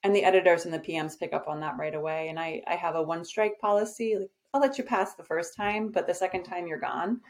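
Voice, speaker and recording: A woman's voice: fairly low pitch, steady tone, quick pace, few pauses. A woman guest on a podcast, talking in a casual, direct and confident way about her business, with frequent "um" fillers. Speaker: podcast guest, agency owner. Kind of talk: casual conversational interview.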